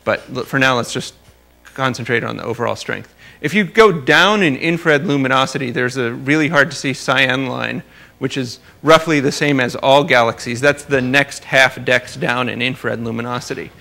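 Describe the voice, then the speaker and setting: A male scientist lecturing informally, with a creaky, crisp-edged voice at a middling pitch. He qualifies his points carefully and drops in dry, wry asides as he explains. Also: moderate pace, sharp tone. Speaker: astronomer giving a research talk.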